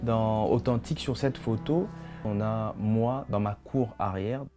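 A man's voice: fairly low pitch, unhurried, music in the background. A man in his thirties, born and raised in Congo, talking reflectively about his art in a documentary interview in his studio. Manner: reflective, expressive hand gestures. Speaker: visual artist.

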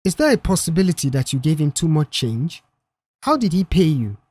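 A man's voice: on the high side, sing-song, a little fast, darkish tone, slightly rough, dry recording. Man reading a reserved young mechanic, probing. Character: quiet, reserved young male mechanic questioning staff. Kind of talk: audiobook character dialogue.

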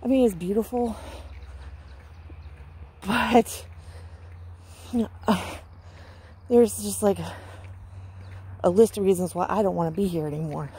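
A woman's voice: mid-range voice, slow pace, pauses often, dark tone, breathy edge. A middle-aged American woman from Washington State chats casually and folksily to viewers while walking outdoors, holding her phone at arm's length. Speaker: vlogger. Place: outdoors on a rural residential road. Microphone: handheld phone at arm's length. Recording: noisy outdoor phone recording with clicks.

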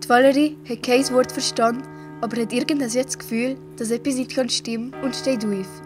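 A female storyteller narrates an amateur radio play. Her voice is fairly high, and she speaks with a Valais Swiss German accent, reading from a script. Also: steady tone, brisk, over music.

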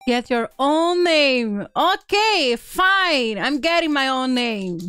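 A woman's voice: high voice, very animated, slow, pushed and bright, dry recording. A woman hosting a casual live game stream, playful and exclamatory, chatting with viewers and reading text aloud. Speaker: streamer, video game host. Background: faint video game music.

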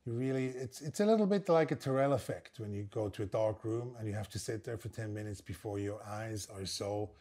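A man's voice: fairly low pitch, fairly quick, few pauses, mellow. A man in his sixties from Switzerland with a Swiss German accent, a native German speaker, explaining his work thoughtfully in short phrases in an interview. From Basel, Switzerland. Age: 60s. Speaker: interviewed composer and percussionist. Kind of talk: reflective interview conversation. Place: indoor room at a large wooden table under glazed roof.

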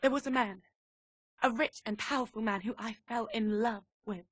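An actress playing a poised, seductive socialite agent who turns desperate traitor in a dramatic video game cutscene. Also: female voice, on the high side, normal speed, breathy edge, no echo, studio quality. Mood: wistful, confessional.

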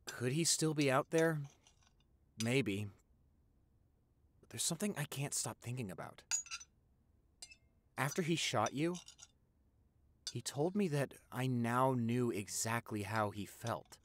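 A young man playing a devoted, gentle vampire boyfriend in an intimate audio roleplay, earnest and encouraging. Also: medium pitch, natural voice. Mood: somber, uneasy. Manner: tender, encouraging, earnest, halting when emotional. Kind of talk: audio roleplay acting, intimate one-sided dialogue.

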